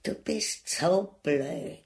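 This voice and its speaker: A nagging austrian wife in a radio farce, gravelly creak, swoopy and mocking, really earthy. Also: female voice, low-pitched, expressive, extremely slow, a little mellow, full character voice. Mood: scornful. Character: coarse, scheming, nagging wife goading her foolish husband. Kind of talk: farcical radio play acting in broad dialect.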